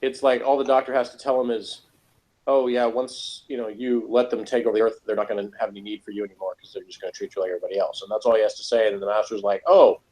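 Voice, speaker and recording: A man in a casual review chat, talking in a halting, rambling, opinionated and critical way. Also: lowish voice, steady tone, warm tone, rough recording. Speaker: review show co-host.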